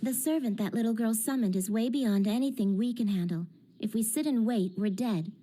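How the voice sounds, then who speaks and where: A woman playing a sharp, pragmatic teenage girl mage in an English anime dub, blunt and lecturing. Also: on the high side, fairly quick, natural voice. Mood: exasperated, cool. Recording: dramatic music under the voice.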